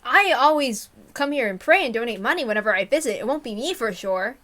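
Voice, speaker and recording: A woman's voice: a little high, animated, brisk, pushed and bright. A woman voicing an amiable male photographer in a story, earnest and easily flustered. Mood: friendly.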